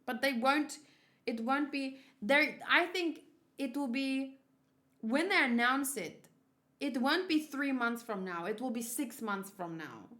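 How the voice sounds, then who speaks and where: A woman with a fairly high voice hosts a casual livestream. She rambles and thinks aloud, circling back over her words as she talks to viewers. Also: unhurried, a bit bright. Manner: rambling, thinking aloud, often repeating herself. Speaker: streamer hosting a manga read-along.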